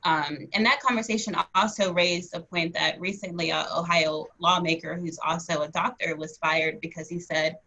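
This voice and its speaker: A woman's voice: fairly low pitch, steady tone, normal speed, few pauses, sharp tone. A woman who works as an assistant director, reporting back to an online group discussion. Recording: dull, lo-fi online call audio.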